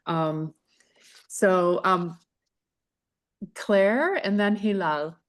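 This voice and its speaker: Woman running a zoom q&a, lowish voice, calm and measured. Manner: measured, with fillers. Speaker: faculty host of info session. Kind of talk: moderating a Q&A. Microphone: video call.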